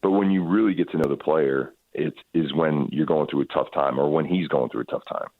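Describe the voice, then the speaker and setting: A very deep-voiced man heard over a narrow, muffled phone line. He speaks as a coach being interviewed, thoughtful and halting, doubling back on his words. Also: no echo. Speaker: professional soccer head coach. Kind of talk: radio interview answers.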